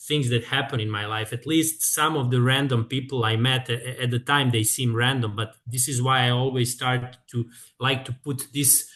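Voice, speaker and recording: A man in his thirties presents his research over a video call. He talks at an ordinary pitch, pausing often and filling the gaps with hesitant sounds. The sound is plain video-call audio. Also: fairly even intonation, a bit bright. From Serbia. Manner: halting, with frequent filler sounds. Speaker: guest speaker, researcher. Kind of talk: presentation in an online seminar.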